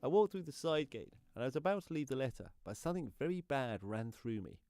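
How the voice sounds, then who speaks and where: A man's voice: mid-range voice, lively intonation, quick pace, a little mellow, faint music behind, close to an everyday voice. A man playing an anxious, guilt-ridden neighbour confessing in a radio play monologue. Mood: tense, confessional.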